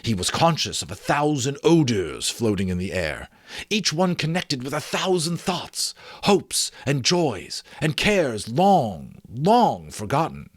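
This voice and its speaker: A man narrating a storybook in a theatrical, expressive way. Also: mid-range voice, slow pace, no echo, clean studio sound. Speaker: amateur audiobook narrator. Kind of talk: dramatic storybook narration with casual asides.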